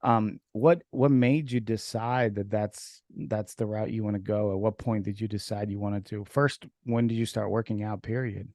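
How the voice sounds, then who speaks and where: A man from Ukraine whose first language is Russian, hosting a podcast over a video call in relaxed, filler-heavy chat. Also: lowish voice, moderate pace, hardly any pauses, no echo, clean studio sound. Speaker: podcast host. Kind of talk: casual interview chat.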